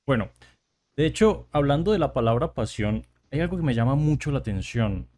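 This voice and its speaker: Colombian male podcast host, relaxed chatty delivery, mid-range voice. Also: mid-range voice, a little fast. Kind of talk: casual podcast chat.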